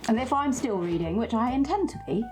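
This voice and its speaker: A woman's voice: on the high side, lively intonation, fairly quick, a little mellow, soft background music. A woman co-hosting a book channel, chatting casually and prompting with questions. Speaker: co-host.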